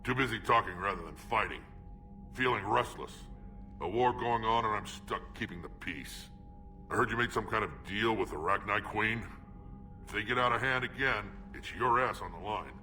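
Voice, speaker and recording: An actor playing a gruff, restless alien warlord in a video game, with a deep growling voice. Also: male voice, moderate pace, sharp tone, recorded from a distance, faint music behind.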